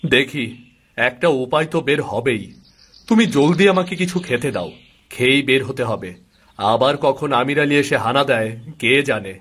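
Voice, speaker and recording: A man's voice: mid-range voice, animated, moderate pace, fairly bright tone, slightly rough. A man playing a hard-up, worried village farmer in a cartoon, grumbling and dismissive as he talks. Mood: worried, irritable. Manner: grumbling, dismissive toward his wife. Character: worried, brusque village husband and son, hard-up small farmer. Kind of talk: dramatised cartoon dialogue.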